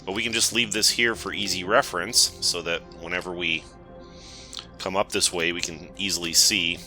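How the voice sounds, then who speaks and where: A man with a cold gives relaxed let's-play commentary, thinking aloud as he explores. His voice sits very low yet sounds strikingly bright and forceful. Soft game music plays underneath. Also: moderate pace. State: has a cold. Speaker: gaming channel host.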